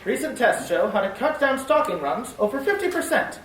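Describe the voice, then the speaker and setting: A woman announcing and reading a commercial in a bright, persuasive 1940s radio style. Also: fairly high pitch, big pitch swings, brisk. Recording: distant, roomy old broadcast with hiss.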